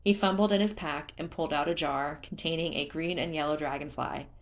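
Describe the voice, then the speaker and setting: A woman's voice: low voice, fairly even intonation, brisk. A woman reading fiction aloud as the narrator, in scripted audiobook style.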